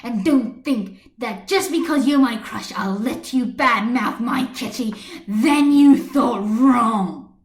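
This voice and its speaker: A woman voicing a fiery, stubborn schoolgirl in a fan fiction story, forceful and indignant as she argues. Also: on the high side, slow. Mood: angry, defiant. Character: fiery, stubborn schoolgirl passionately defending her hero. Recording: echoey room on computer microphone.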